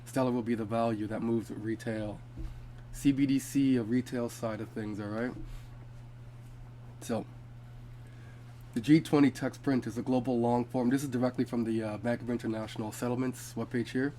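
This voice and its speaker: A man's voice: medium pitch, steady tone, normal speed, dark tone, a little hiss or hum. A man who sounds congested from being sick, hosting a casual crypto video and reading from web pages with fillers and restarts. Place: home.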